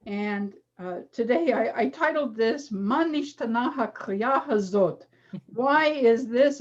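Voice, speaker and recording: A woman's voice: on the high side, darkish tone. A middle-aged woman teacher explaining a reading demonstration in a friendly, casual way with many fillers. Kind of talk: casual online lecture. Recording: dull lo-fi video call audio.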